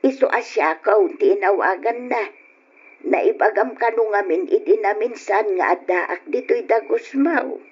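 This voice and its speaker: A woman's voice: medium pitch, unhurried, slightly rough. A woman with a Filipino Ilocano accent playing a worried, middle-aged mother who probes and admonishes her grown daughter in an old-time radio drama. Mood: reproachful, concerned. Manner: probing, admonishing. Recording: dull old radio recording.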